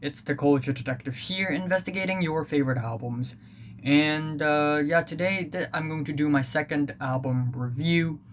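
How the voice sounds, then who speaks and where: A man's voice: a little high, moderate pace, slight background noise. A teenage man from Hong Kong with a Hong Kong accent, Cantonese his first language, rambling through a blunt, casual album review with lots of fillers. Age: teens. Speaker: music reviewer.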